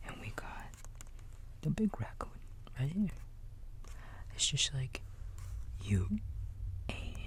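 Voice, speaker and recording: A man playing a kindly raccoon babysitter in a bedtime ASMR roleplay, whispering softly and gently reassuring a small child in a folksy, halting way. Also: medium pitch. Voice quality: soft, breathy whisper. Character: kindly big-brotherly male raccoon babysitter.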